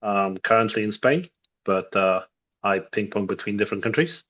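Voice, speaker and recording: A Polish-born man, a native Polish speaker, talks haltingly and restarts his phrases as he explains. His voice is fairly low, and the call audio sounds dull and lo-fi. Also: a bit bright. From Poland. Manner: halting, self-correcting. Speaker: software engineer. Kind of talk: casual chat on video call.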